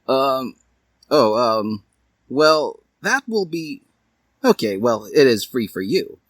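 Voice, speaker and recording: Awkward lovestruck boy, story reading, done by a man: shy, halting, husky, lightly raised. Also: medium pitch, expressive, dry recording, voice pushed up. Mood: flustered. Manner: flustered, halting.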